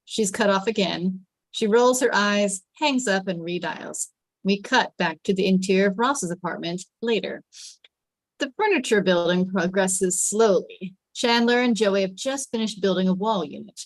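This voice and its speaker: Female table-read narrator, mid-pitched, scripted action lines, very dry recording. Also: moderate pace, dry recording, low-quality recording. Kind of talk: scripted stage directions read aloud.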